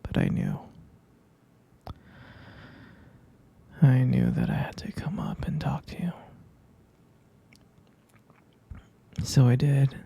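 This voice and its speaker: A man acts out a drowsy, tender vampire boyfriend in a bedtime ASMR roleplay. His voice is very breathy and husky, crackling with heavy fry. He speaks slowly and casually, with hesitant, intimate murmurs. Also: medium pitch, warm tone, full character voice. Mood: fond, reminiscing. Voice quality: breathy, gravelly half-whisper. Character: gentle, sleepy vampire boyfriend comforting his partner at bedtime.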